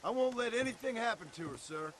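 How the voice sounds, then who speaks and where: An actor playing a gruff, dry-witted former outlaw with a blunt American manner of speaking in a Western game. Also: male voice, very high voice, lively intonation, fast, slight background noise. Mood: resolute. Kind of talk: video game cutscene acting.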